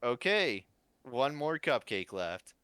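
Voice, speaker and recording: A man's voice: mid-range voice, sing-song, slow, pushed and bright, clear, resonant tone, dry recording, voice pushed up, full character voice. A man acting out a brash male game-show host in an emphatic, theatrical manner for a fan-fiction reading. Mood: smug, announcing.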